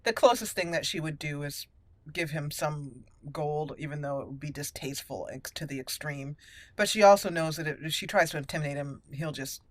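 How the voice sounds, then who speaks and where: A woman's voice: low-pitched, normal speed, no echo. A woman hosting a roleplay let's play, chatty and playful, thinking aloud as she goes. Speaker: gaming host.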